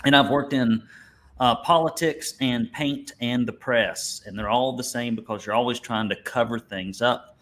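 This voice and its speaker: A man's voice: medium pitch, moderate pace, fairly bright tone. A man with an Alabama Southern accent presents a webinar conversationally, in a folksy, joking way with colorful turns of phrase. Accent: Alabama Southern American. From Alabama, United States. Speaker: webinar instructor and business coach.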